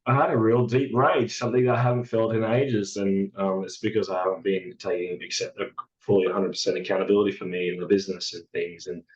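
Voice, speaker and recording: A man with a hoarse, raspy voice, talking in a halting, reflective way as a podcast guest, full of fillers and restarts. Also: low-pitched, flat delivery, highs cut off. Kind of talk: casual reflective chat.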